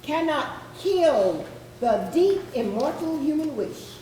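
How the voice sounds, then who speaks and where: Slow, animated woman reciting in echoey room. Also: high-pitched. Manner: intense, solemn. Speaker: readers theatre performer. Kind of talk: dramatic poetry recitation. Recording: echoey room, distant mic, clear noise.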